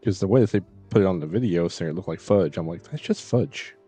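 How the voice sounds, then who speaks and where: A man live streaming, chatting casually in a halting, rambling way that trails off and circles back. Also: low voice, lively intonation, warm tone, slightly rough. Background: faint music.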